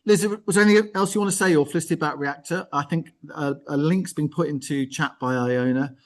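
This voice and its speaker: A man's voice: fairly high pitch, fast. A man hosting an informal live interview in a conversational way, often rephrasing and listing as he goes. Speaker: host.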